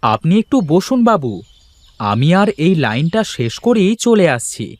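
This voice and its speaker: Cartoon farm labourer, acted by a man: aggrieved, deferential yet answering back, slow and lively-pitched. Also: no echo. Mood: placating. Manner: protesting, addresses his employer deferentially. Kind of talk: Bengali cartoon folk-tale voice acting.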